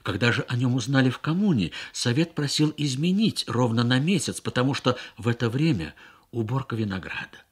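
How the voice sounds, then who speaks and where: A careful young woman interpreter, read by a man in a radio story. The voice is husky and hesitant, phrasing each apologetic clause with care. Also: mid-range voice, expressive, a little fast. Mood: hesitant, regretful.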